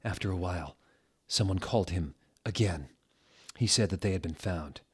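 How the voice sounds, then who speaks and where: A man hosting a mock-dramatic reading of fan fiction, deadpan, sounding out typos and punctuation as written. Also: deep voice, moderate pace, slightly rough. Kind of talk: mock-dramatic scripted narration.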